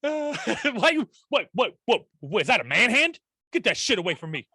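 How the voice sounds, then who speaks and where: Bright, lively high-voiced man joking crudely. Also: normal speed, breathy edge, no echo, clean studio sound. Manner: crude, joking banter, laughing often. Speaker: podcast host. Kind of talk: casual chat.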